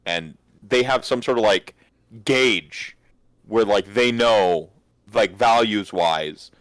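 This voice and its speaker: A man chatting casually on a hobby stream, animated and swearing freely as he acts out what other people say. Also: fairly low pitch, takes it slowly, no room sound, rough recording.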